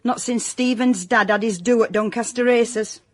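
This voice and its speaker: An actress as a fussy, high-voiced Yorkshire mother. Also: normal speed, natural voice. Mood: disapproving. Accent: South Yorkshire. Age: middle-aged. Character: nagging, fussy middle-aged Yorkshire mother, disapproving and gossipy. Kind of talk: comic radio drama acting.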